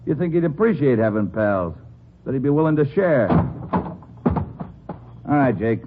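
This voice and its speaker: A man's voice: mid-range voice, big pitch swings, unhurried. An actor playing a sneering, bullying gangster in a 1950s radio crime drama, with slangy tough-guy talk. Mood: sly, sneering. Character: menacing, sneering male gangster, bullying and impatient. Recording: muffled, noisy old 1950s radio recording.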